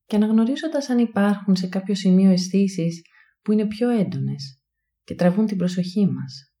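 Woman doing a guided body scan, super mellow dark voice, calm n hushed, lots of long pauses. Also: mid-range voice. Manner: calm, soothing, hushed delivery with long pauses between short phrases. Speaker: mindfulness meditation guide.

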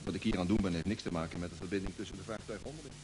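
A male actor as a cheeky spaceship radio operator in a radio drama. He talks extremely fast and informally at a middling pitch. The old recording carries faint noise. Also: natural voice. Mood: explaining. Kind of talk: Dutch radio play acting.

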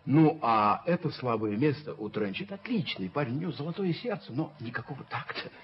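An actor playing a fussy, pompous gentleman in a radio play, affected and effusive, repeating his words. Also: mid-range voice, animated, a little fast, close to an everyday voice. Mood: obsequious, effusive. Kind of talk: Soviet radio play acting. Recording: dull, hissy 1970s radio recording.

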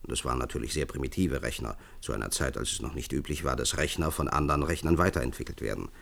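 A man's voice: extremely low pitch, fast, creaky, fairly bright tone, heavily acted. An actor playing an earnest male expert in a German science-fiction radio drama, formally lecturing and persuading. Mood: explaining. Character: earnest male science expert pitching a visionary project to leaders.

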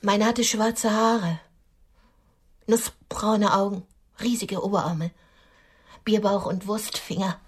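Husky, mocking middle-aged woman. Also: mid-range voice, steady tone, slow, pauses often. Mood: bitter, wistful. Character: disillusioned middle-aged lady on a blind date, mocking, wounded. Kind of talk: radio drama acting.

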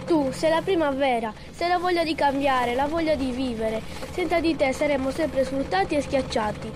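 A woman's voice: sits high, moderate pace, lightly acted. A woman playing an earnest believer in change, urging someone on in an audio drama. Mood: urgent, encouraging. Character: earnest woman rallying springtime to revolution. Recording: clear ambient noise behind.

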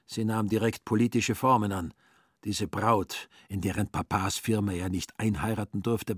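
A man's voice: fairly low pitch, slightly rough, no echo, studio quality. A man narrating a literary radio play in a scripted, dryly ironic storytelling manner.

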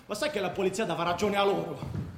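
An actor playing an excitable young male football fanatic, recounting clashes in slangy radio-drama narration. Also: sits high, fast. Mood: indignant. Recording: echoey, clearly noisy background.

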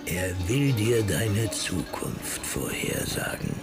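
An ominous male fortune-teller in a radio play speaks slowly, his pitch swinging widely between solemn pronouncement and whisper. Loud music plays behind him. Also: big pitch swings, gravelly, heavily acted. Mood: solemn, coaxing. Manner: incantatory, dramatic whispers and slow pronouncements. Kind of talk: radio drama acting.

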